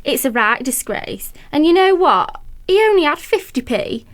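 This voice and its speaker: A woman's voice: high-pitched, sing-song, moderate pace. A woman playing a wistful, grumbling old woman in a radio play, with an English accent and plain colloquial speech. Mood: indignant gossip. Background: music.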